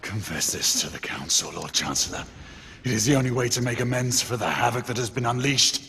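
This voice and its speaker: An actor playing a stern, wronged knight in a video game drama, gravelly, formal and intense. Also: male voice, medium pitch, fairly quick, pushed and bright. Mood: grave, pleading. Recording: long hall reverb, clear background noise.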